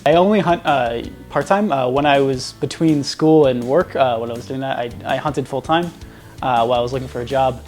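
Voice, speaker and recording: A man's voice: medium pitch, a little fast, a little mellow. A young man in his twenties from the New York area, talking at length with many fillers about bug hunting. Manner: rambling with frequent "uh" fillers. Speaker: bug bounty hunter. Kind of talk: casual interview answers. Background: faint music.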